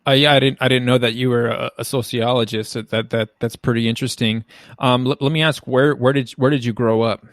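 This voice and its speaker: A man hosting an interview podcast in a mid-pitched, fairly monotone voice. He talks haltingly and keeps searching for the right words. The sound is dry and close, with no room echo. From United States. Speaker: podcast host.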